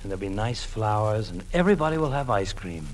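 A man's voice: on the low side, lively intonation, quick pace, lightly acted. A man playing a kindly, joking family doctor who coaxes a child in an old radio comedy. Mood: mock-grave. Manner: coaxing, playful, mock-grave. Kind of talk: 1940s radio comedy acting. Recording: noisy old radio recording.

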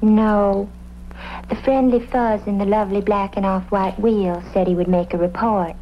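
A dreamy hippie florist played by a woman in an old radio drama. She gushes in whimsical, flowery phrases at a medium pitch. The recording is muffled, with a faint layer of noise. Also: fairly even intonation, normal speed, natural voice. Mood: airy, insistent. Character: airy, dreamy hippie flower-shop woman with theatrical flourishes. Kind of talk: comedic radio police drama acting.

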